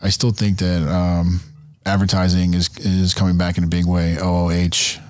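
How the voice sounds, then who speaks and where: A man talking as a guest on a casual podcast, relaxed and self-deprecating, often restarting his words. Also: sits low, normal speed, no echo, studio quality. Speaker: podcast guest, brand founder. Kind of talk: casual interview chat.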